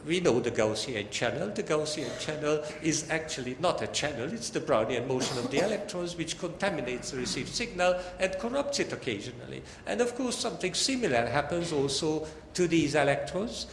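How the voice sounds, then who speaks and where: An older man giving a technical academic lecture in a halting, deliberate way. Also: on the high side, lively intonation. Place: large lecture hall. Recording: echoey hall with long reverb, background noise.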